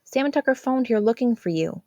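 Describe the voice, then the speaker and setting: A woman's voice: fairly high pitch, animated, brisk, darkish tone, dry recording, highs cut off, voice pushed up, close to an everyday voice. A woman voicing a worried, exasperated teenage older sister in a story, chiding and emphatic.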